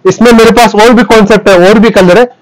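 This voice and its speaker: A man in his thirties giving an emphatic, boastful sales pitch as a wholesale clothing seller. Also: fairly even intonation, brisk, low-quality recording. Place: small clothing wholesale shop, indoors. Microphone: clip-on lapel mic.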